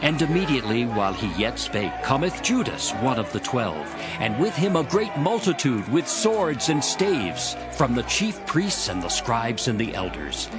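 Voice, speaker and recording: A man's voice: mid-range voice, very animated, moderate pace, few pauses, over loud music. A man narrating dramatized scripture as a storyteller between the character parts.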